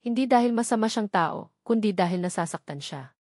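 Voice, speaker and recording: A woman's voice: medium pitch, brisk, darkish tone, no room sound, clean studio sound, lightly acted. A woman voicing a scheming woman in an audio story, sweet and falsely sympathetic. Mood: false concern. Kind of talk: audio story character dialogue.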